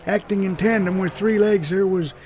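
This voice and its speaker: A man acts out a folksy small-town fellow with a slightly high male voice. He rambles earnestly and haltingly through fond memories in an improvised absurd comedy, with some noise behind him. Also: fairly even intonation, darkish tone, lightly acted. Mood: folksy, nostalgic. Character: folksy small-town man fondly reminiscing about odd local events.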